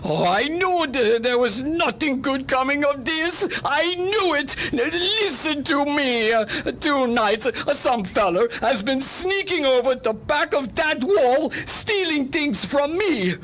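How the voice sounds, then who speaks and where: A woman's voice: high-pitched, lively intonation, a bit bright, slight background noise, heavily acted. A woman playing a frightened Greek man in a radio drama, whining and stammering as he pleads. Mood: nervous, pleading. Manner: halting, stammering, whiny. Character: frightened, blubbering Greek restaurant man pleading self-defence.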